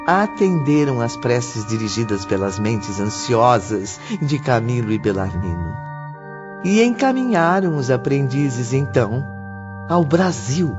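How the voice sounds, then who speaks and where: A male narrator reads a novel in a dramatic, scripted style. His mid-pitched voice is unhurried and varied in melody. Music plays clearly beneath the somewhat dull recording.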